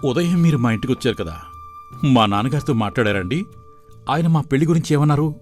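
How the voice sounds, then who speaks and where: A man's voice: medium pitch, animated, quick pace, faint music behind, close to an everyday voice. A man playing a lovelorn young son set on his marriage in a moral story cartoon. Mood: pleading.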